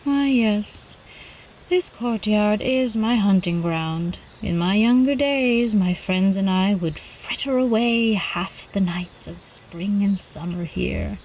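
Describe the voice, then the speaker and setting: Woman playing a slow, velvety vampire countess. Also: medium pitch, lively intonation, darkish tone, a little hiss or hum, low-quality recording. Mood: seductive, wistful. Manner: slow, refined, coyly teasing, formal old-fashioned diction. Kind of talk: ASMR roleplay acting.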